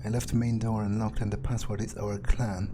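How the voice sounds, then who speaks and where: A man's voice: on the low side, little pitch movement, brisk, background noise. A man doing in-character game commentary, thinking aloud in a theatrical, self-assured, musing way. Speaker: gamer playing in character.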